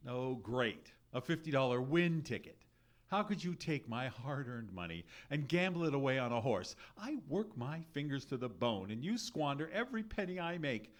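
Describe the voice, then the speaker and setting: An actor playing an exasperated, sleep-deprived, penny-pinching husband in a live radio comedy, blustery, sarcastic and indignant. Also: male voice, mid-range voice, sing-song, normal speed, close to an everyday voice. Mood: outraged despair. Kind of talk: live old-time radio comedy acting before an audience. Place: live theatre stage.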